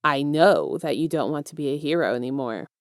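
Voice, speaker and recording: A smug, casual male villain mastermind, acted by a woman, pitched low. He coaxes and teases softly, with affectionate confidence. The story reading sounds dry and close. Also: animated, brisk, dry recording. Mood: reassuring. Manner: teasing, coaxing, soft-spoken, self-assured.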